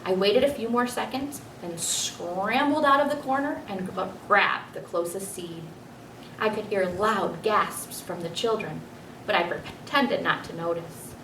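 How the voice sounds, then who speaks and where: Storybook hamster narrator, played by a woman: clever, pleased with himself, expressive. Roomy, slightly noisy recording. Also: medium pitch, measured pace, close to an everyday voice. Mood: playful, self-assured. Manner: expressive children's storybook reading. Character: clever, self-satisfied pet hamster narrating his adventures. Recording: roomy, distant mic, faint noise.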